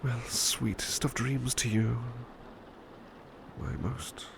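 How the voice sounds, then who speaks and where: A man playing a devoted, tender butler in an intimate ASMR roleplay, speaking in a hushed, sleepy, halting voice that often slips into whispers. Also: mid-range voice, steady tone, creaky, noisy background. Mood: loving, drowsy. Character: devoted, tender male butler comforting a beloved at bedtime.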